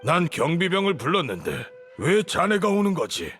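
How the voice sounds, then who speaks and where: An actor playing an imperious, suspicious older grand sage in a game, with a haughty, commanding manner. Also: male voice, a little high, huge pitch swings, moderate pace, fairly bright tone, soft background music. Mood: suspicious, alarmed. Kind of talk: dramatic Korean game voice acting.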